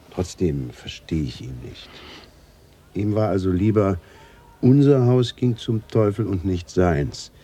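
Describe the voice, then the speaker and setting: An actor playing a quiet middle-aged man in a German radio drama, questioning soberly in a raspy, breathy voice. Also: deep voice, full character voice. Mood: pensive, puzzled. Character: quiet, probing middle-aged man seeking his family's truth.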